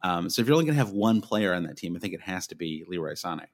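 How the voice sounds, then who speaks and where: Low-voiced male podcast co-host, hesitant, casual sports chat. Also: animated, very fast. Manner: hesitant, thinks aloud with fillers. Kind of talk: casual fantasy football chat.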